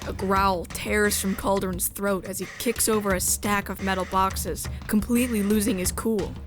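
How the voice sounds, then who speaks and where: A woman's voice: mid-range voice, fairly even intonation, moderate pace, over music, natural voice. A woman playing a sensitive, resolute outsider who narrates a space drama in the first person. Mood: tense.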